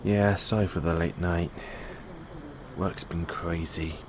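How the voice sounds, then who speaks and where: A man's voice: deep voice, steady tone, slow pace, crowd noise. A man playing a playful, affectionate boyfriend in an intimate bedtime audio roleplay. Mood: affectionate, soothing. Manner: teasing, affectionate.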